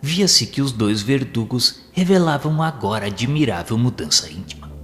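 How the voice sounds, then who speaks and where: An actor playing an earnest, inquisitive spirit student in an audio drama, with a formal, respectful and expressive delivery. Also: male voice, medium pitch, moderate pace, lightly acted. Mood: thoughtful. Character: earnest, inquisitive male spirit student who narrates his learning. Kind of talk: audio drama dialogue and literary narration.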